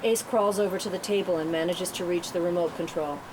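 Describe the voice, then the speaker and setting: A woman's voice: lowish voice, steady tone, a little fast. A woman reading scripted stage directions as narrator at a table read, in a noisy room.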